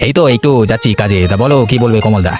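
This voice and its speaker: A man's voice: lowish voice, very fast, darkish tone, close to an everyday voice. A man voicing a meek, henpecked village husband in a cartoon, sounding submissive. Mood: helpless, puzzled. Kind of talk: Bengali cartoon dialogue. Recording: music under the dialogue.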